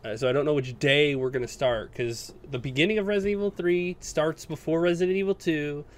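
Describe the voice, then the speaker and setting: A man's voice: a little high, fairly even intonation, a little fast. Insistent man talking games casually. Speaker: podcast co-host. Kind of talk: casual video game chat.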